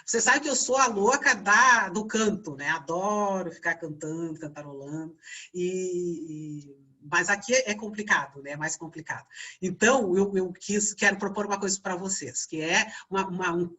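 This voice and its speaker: Bright-voiced Brazilian woman teaching. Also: on the low side, husky. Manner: conversational, checks in with frequent tag questions. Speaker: teacher leading a theatre voice class. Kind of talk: informal online class instruction.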